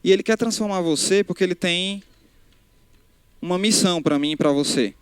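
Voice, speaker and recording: Young preacher, higher-pitched voice, smooth and clear, dry close mic, speaks conversationally to a live crowd. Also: male voice, moderate pace, no echo. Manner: conversational, addresses crowd directly, frequent restarts. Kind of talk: casual live sermon. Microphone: handheld microphone.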